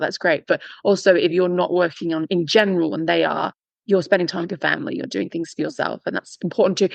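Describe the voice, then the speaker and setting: Fast-talking woman podcast host. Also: fairly low pitch, gravelly, no echo. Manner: chatty, laughs often, quick restarts. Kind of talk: casual podcast conversation.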